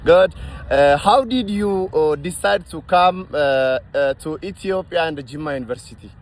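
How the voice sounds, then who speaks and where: A man hosting an interview, giving a formal welcome and then asking halting questions with many fillers. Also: on the high side, lively intonation. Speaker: interview host.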